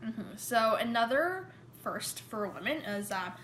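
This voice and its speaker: A woman's voice: fairly high pitch, sing-song, measured pace, fairly bright tone, reverberant, a little hiss or hum. Young woman explaining with lots of "like". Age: young adult. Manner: informative, lots of "like" and restarts. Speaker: podcast co-host. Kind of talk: casual podcast chat. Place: living room, on a couch.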